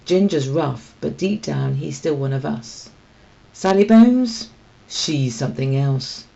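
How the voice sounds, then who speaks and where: A woman's voice: low-pitched, animated, takes it slowly, gravelly, mellow, lo-fi sound, exaggerated voice. Woman voicing a scornful, tough female street cat. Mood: scornful, warning. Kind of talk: children's storybook read-aloud.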